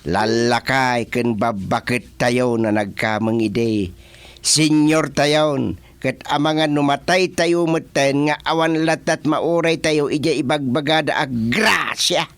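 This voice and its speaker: A man's voice: medium pitch, takes it slowly, fairly bright tone, no room sound. A man playing a folksy elderly village husband in a radio drama comedy, with an Ilocano accent. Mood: folksy, explaining.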